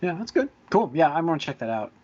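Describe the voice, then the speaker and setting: A man with a slightly high-pitched voice chats casually as a podcast host. He rambles and wanders between points. The audio is somewhat dull and lo-fi. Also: sing-song, quick pace, darkish tone, breathy edge.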